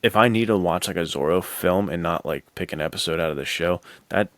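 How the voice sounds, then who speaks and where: A man with a deep voice and an American accent talks casually about films as a podcast co-host. His delivery is slangy and enthusiastic, and the sound is dry, with very little room in it. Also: fairly quick, a bit bright, no room sound. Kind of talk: casual film chat.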